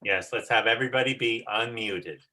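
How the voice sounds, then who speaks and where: A male teacher with a medium-pitched voice directs an amateur play rehearsal. He coaches in a casual, encouraging way. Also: a little fast, pushed and bright.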